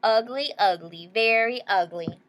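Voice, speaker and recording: A woman voicing mocking schoolchildren in a read-aloud storybook, with emphatic, drawn-out jeering. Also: medium pitch, a bit bright, close to an everyday voice. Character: mocking, taunting group of schoolchildren.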